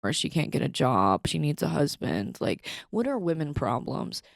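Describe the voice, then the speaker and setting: Low-voiced young woman chatting on a podcast. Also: sing-song, moderate pace, creaky, no echo, clean studio sound. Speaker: podcast co-host. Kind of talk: casual opinionated chat.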